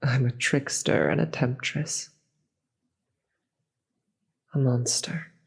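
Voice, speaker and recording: Performer voicing a husky, slow-spoken demon queen. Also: medium pitch, animated, exaggerated voice. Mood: stern, commanding. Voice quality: breathy, husky. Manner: slow, deliberate, grave. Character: weary, commanding demon queen, secretly soft-hearted. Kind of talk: dramatic audio roleplay acting.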